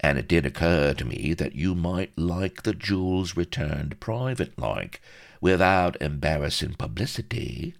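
A man voicing an oily, smirking fixer in an audiobook, smooth, slangy and ingratiating. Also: deep voice, sing-song, measured pace, pitched above the performer's own voice, full character voice. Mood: smooth, sly. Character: oily, smirking, wheedling male fixer and blackmailer.

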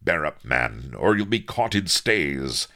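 A man voicing a prim gentleman pirate captain in an audiobook, with pompous, archaic seafaring diction. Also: rumbling low, sing-song, slow, heavily acted. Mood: urgent, rasping.

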